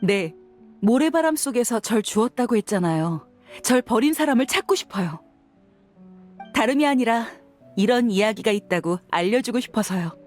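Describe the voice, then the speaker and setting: A woman's voice: fairly high pitch, expressive, normal speed, darkish tone, faint music behind, lightly acted. A woman voicing a frank young desert mercenary, tough but caring, with a casual manner in a dramatic game scene. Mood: wistful, grateful.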